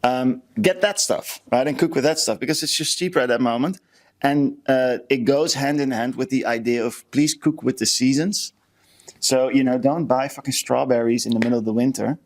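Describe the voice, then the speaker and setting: A man's voice: mid-range voice, moderate pace. A man from the Netherlands with a Dutch accent, chatting casually and self-deprecatingly as a podcast co-host. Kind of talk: casual chat.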